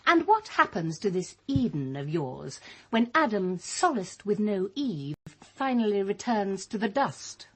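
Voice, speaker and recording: A woman's voice: medium pitch, big pitch swings, unhurried, slightly rough. A woman playing an earnest, argumentative character with a social conscience in a radio drama, challenging in manner. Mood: pointed. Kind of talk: British radio drama acting.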